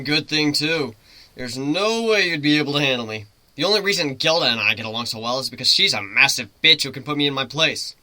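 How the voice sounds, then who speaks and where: Male reader as wisecracking griffin hero, informal and teasing, sharp bright tone, dry close sound. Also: medium pitch, lively intonation, fairly quick, no echo. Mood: wry, explaining. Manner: informal, teasing, rambling explanations. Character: cocky, joking male griffin, formerly a troubled human.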